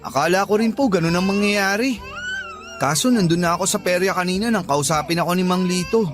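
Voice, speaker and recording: A sullen young Filipino working man in a radio drama. His voice sits high for a man, plaintive and discouraged, grumbling like a son talking back. Soft music plays behind him. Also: fairly quick. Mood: dejected. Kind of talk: Tagalog radio drama acting.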